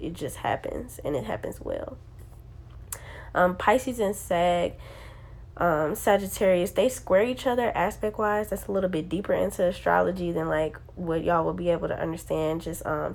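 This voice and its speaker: A woman's voice: medium pitch, vocal fry, darkish tone. Congested young woman, casual and slangy. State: congested from a sinus infection. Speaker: astrology video host. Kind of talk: casual talk to camera.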